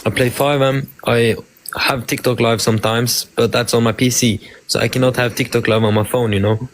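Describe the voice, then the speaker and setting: A man's voice: medium pitch, fairly even intonation, a little hiss or hum. Hesitant man. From Norway. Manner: hesitant, halting. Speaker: live stream guest.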